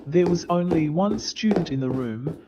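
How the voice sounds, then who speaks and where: A man's voice: fairly high pitch, animated, normal speed, highs cut off, lightly acted. A man playing the narrator of a Victorian detective tale in a staged radio play, with formal, descriptive storytelling. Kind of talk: scripted narration. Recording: very noisy, loud crowd, clicks.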